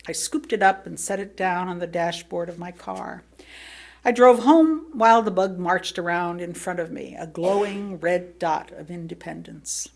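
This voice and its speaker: An American woman reads a sermon aloud as personal storytelling, speaking expressively with theatrical emphasis and pauses. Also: on the low side, creaky. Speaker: lay reader delivering a written sermon.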